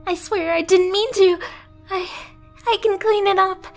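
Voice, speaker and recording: A woman voicing a timid, clingy young catgirl pet in an ASMR roleplay, soft and girlish, with meek, hesitant, stammering, apologetic speech. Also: very high voice, fairly even intonation, a little slow, faint music behind. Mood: panicked pleading.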